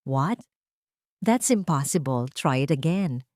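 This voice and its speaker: A woman voicing an arrogant, wealthy man who is forceful, demanding and impatient in a narrated audio drama. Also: low-pitched, expressive, slow, dry recording, clean studio sound, pitched below the performer's own voice. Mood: disbelieving, irritated.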